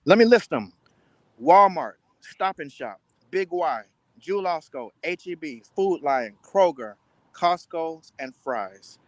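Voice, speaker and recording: A man speaking as an interview guest, giving earnest, deliberate answers in a casual style. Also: fairly high pitch, animated, no echo. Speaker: guest, spice entrepreneur.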